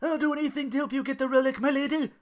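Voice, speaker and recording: A podcaster as an eager, high-voiced young sidekick man. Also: very fast, lightly acted. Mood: eager, hopeful. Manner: deferential, excitable, wide-eyed. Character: earnest, whiny, devoted young man sidekick, naive and eager.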